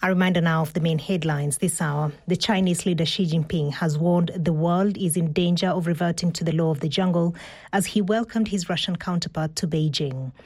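A woman presenting radio news, reading the headlines in a crisp, formal manner. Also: on the low side, fairly quick, darkish tone.

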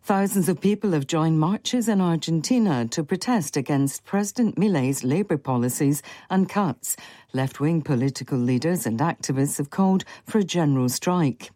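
A woman reading a radio news bulletin in a steady, formal manner from a studio. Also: sits low, normal speed, no room sound. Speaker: newsreader. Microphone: studio microphone.

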